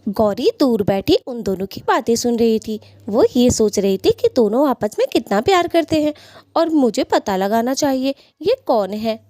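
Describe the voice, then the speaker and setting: A woman's voice: on the high side, expressive, moderate pace, warm tone, no echo. A woman narrating a children's cartoon story.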